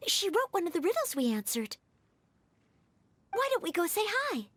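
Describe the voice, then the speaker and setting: A woman's voice: very high-pitched, big pitch swings, moderate pace, no echo. A woman voicing an eager, modest and helpful young maid girl in a video game, polite and earnest. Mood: earnest, flustered.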